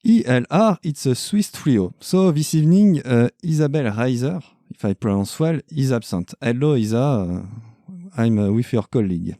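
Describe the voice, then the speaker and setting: A man's voice: medium pitch, expressive, normal speed, mellow, no room sound, clean studio sound. A man with a French accent hosting a podcast interview in English, hesitant with many fillers. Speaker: podcast host. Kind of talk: casual interview.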